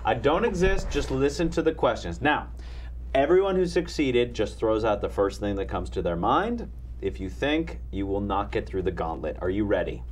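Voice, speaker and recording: A man's voice: lowish voice, very animated, moderate pace, a little hiss or hum. A man hosting a playful web talk show, running a quiz game and joking as he hams up foreign-sounding words. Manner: jokey, hams up mock foreign pronunciations. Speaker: host.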